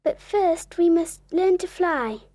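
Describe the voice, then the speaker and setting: A woman voicing Wendy, an excited young girl, in a storybook reading for learners. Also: takes it slowly, no echo, full character voice. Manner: high, lively, wide-eyed delivery.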